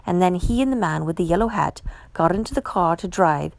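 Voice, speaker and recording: A woman reading a children's picture book aloud with measured, emphatic storyteller phrasing. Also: lowish voice, animated, vocal fry, darkish tone, dry recording. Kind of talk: expressive children's read-aloud narration.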